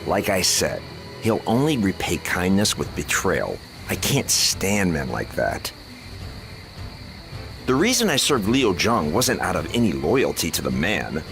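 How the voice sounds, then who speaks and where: A man playing a sharp-tongued, scornful but loyal strategist in a dramatic video game, speaking bluntly and articulately. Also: low voice, wildly swooping pitch, a little slow, soft background music, heavily acted. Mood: blunt, persuasive.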